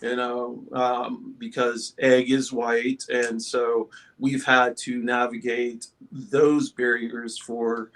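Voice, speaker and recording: A middle-aged, male-sounding panelist shares personal reflections in a casual group talk. The voice sits at an ordinary pitch and moves slowly, with a halting, thoughtful delivery. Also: level tone, fairly bright tone, low-quality recording.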